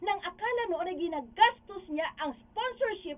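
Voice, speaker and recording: A woman's voice: very high voice, lively intonation, normal speed, distant mic. A woman playing an indignant, principled wife in a Filipino radio drama, emphatic and scolding, with a Filipino accent. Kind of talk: amateur radio drama acting. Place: radio recording studio. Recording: muffled phone-like audio with background noise.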